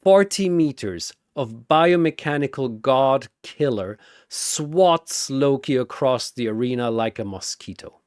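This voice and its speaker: A male narrator tells a battle story with theatrical punch. His pitch swings widely while his pace stays slow and deliberate, in a dry, close recording. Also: dry recording. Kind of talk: dramatic scripted battle narration.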